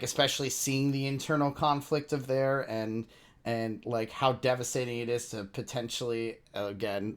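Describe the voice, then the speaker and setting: A man with a mid-pitched voice hosts a casual reaction session. He is excitable and gushing, and tends to ramble as he works through what he thinks. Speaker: reaction video host, VTuber.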